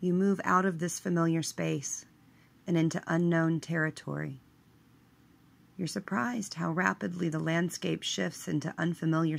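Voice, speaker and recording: A woman leading a scripted guided meditation in a measured, hushed manner, letting her phrase endings trail away. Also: deep voice, gravelly.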